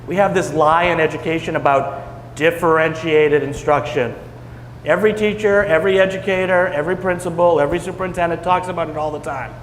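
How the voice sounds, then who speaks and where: A man's voice: on the high side, fairly even intonation, fairly quick. A man speaking bluntly and emphatically on a panel about a teaching program. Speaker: education program leader. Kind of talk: panel discussion. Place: conference hall. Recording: echoey hall with faint noise.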